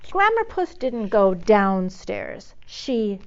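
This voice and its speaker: A woman narrating a children's story expressively, pausing dramatically on key words. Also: mid-range voice, sing-song, clean tone, muffled recording. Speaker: storybook reader.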